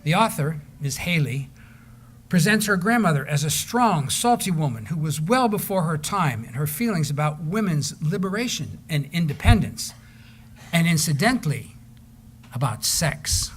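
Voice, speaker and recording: Male presenter, fairly high voice, formal scripted introductions, faint background noise. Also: big pitch swings, moderate pace. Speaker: presenter introducing staged readings. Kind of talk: scripted narration to an audience.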